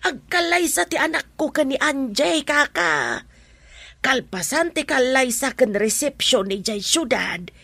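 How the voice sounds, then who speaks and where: A woman with an Ilocano accent acting as a proud, plain-spoken village mother in a radio drama, her voice raspy. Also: high voice, big pitch swings, a little slow, pushed and bright, no echo, exaggerated voice. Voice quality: raspy, creaky.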